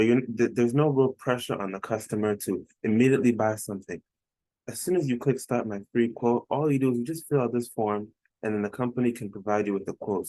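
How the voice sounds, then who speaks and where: A man's voice: on the low side, warm tone, no room sound, low-quality recording. A man presenting an explanatory screen-share tutorial as a consultant, instructing the listener directly. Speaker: web consultant presenting a case study.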